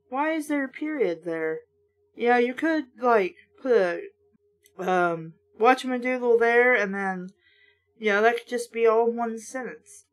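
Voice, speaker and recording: High-voiced woman reading aloud. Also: slow. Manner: sardonic, blunt, swearing asides. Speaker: host reading a children's horror book aloud with commentary. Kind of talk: storybook narration with casual asides.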